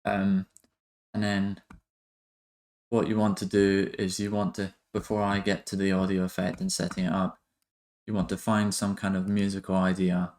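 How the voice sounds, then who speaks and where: Male tutorial host, low register, very even delivery, halting and informal, working through steps aloud. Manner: hesitant, halting, thinking aloud. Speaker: music production tutorial host. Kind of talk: casual software tutorial narration.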